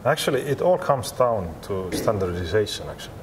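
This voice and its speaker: Low-voiced man, halting, over venue noise. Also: big pitch swings. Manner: halting, repetitive non-native English phrasing. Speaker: interviewed software company representative. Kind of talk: interview answers. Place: large glass-walled expo hall, indoors. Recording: clear ambient hum of large venue.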